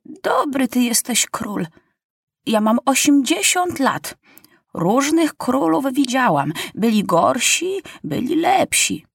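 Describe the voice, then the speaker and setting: Woman doing sweet old granny of eighty, gentle talk, a bit husky. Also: sits high, sing-song, takes it slowly, no room sound, studio quality, voice pushed up, heavily acted. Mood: grateful. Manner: gentle, plain-spoken, warm praise.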